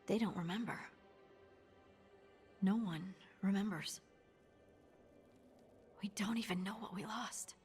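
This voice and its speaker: An actress voicing a dreamy, earnest dwarf woman scholar in a video game, musing haltingly in a breathy voice. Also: medium pitch, a little mellow, natural voice. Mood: wondering. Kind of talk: video game dialogue acting. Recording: long hall reverb, music underneath.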